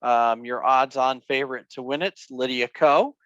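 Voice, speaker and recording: A man co-hosting a casual golf podcast, going over the news with a lot of fillers and pauses. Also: mid-range voice, fairly bright tone, dry recording, muffled recording. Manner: hesitant, many fillers. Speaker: podcast co-host. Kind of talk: casual sports chat.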